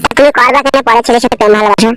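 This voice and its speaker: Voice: medium pitch, fairly even intonation, racing, over music, lightly acted. An actor playing a nosy, opportunistic young male neighbour in a comedy cartoon, needling and insistent.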